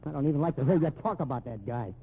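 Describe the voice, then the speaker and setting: An actor playing a desperate fugitive hoodlum in an old radio crime drama, talking tough, hard-bitten gangster slang. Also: male voice, a little high, expressive, racing, slightly rough. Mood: desperate pleading. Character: desperate fugitive brother, a small-time hoodlum. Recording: tinny, narrow old radio recording.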